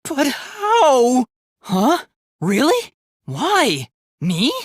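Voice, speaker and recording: A man's voice: very high-pitched, huge pitch swings, extremely slow, husky, dry recording, studio quality, heavily acted. A man voicing an earnest, polite young male robot student in a dramatic anime game, with formal, precise, emphatic diction. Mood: indignant, alarmed. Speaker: video game voice actor.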